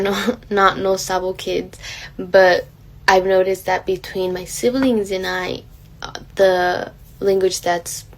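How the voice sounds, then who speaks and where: A woman's voice: mid-range voice, rough recording. A young woman giving personal testimony as a student interviewee, chatty, hesitant and a little apologetic.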